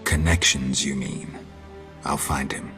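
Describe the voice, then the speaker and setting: An actor playing a gruff, laconic monster-hunting mercenary in a video game, speaking tersely and dryly with a gravelly, husky voice. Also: male voice, a bass voice, slow, faint music behind, exaggerated voice. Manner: terse, dry, deadpan. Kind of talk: video game character acting.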